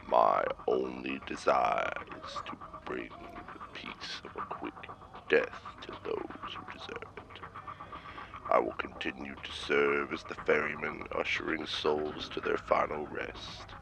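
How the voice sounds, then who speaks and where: An actor playing a grim, death-obsessed military colonel who speaks in slow, hushed, menacing pronouncements. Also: male voice, steady tone, noisy background, heavily acted. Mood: solemn. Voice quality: very deep, gravelly, hushed whispering. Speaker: army colonel. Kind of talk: audio drama acting.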